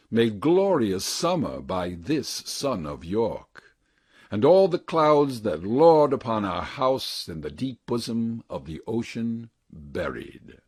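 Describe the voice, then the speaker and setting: A man playing a bitter, deformed, plotting villain in a deliberate, declamatory Shakespearean monologue. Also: on the low side, breathy edge, no room sound, studio quality, full character voice. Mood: grandly sardonic.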